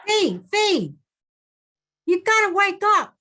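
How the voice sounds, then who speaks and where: A woman's voice: very high voice, very animated, takes it slowly, bright, forceful tone, exaggerated voice. A woman playing a worried, exasperated friend in a drama table read, speaking urgently. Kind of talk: screenplay table read acting.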